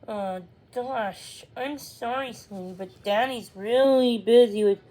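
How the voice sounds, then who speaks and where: A woman's voice: on the high side, slow, dark tone, pitched above the performer's own voice. A woman voicing a sleepy, overworked, dismissive father in a children's storybook, hesitant and weary. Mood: apologetic.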